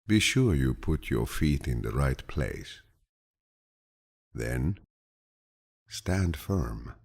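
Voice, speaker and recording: A man narrates an audiobook of quotations in an extremely deep, gravelly voice with a husky grain. His delivery is calm and measured, like a grandfather passing on wisdom, and his intonation rises and falls expressively. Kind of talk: scripted audiobook reading of quotations.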